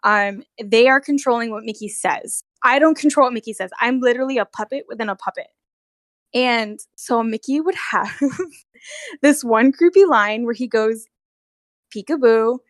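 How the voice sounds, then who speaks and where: A woman telling work stories as a guest in a casual, animated, giggly chat with some swearing, on an amateur recording. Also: a little high, moderate pace, no echo, studio quality. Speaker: guest, costumed theme park character performer. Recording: amateur recording with bad audio.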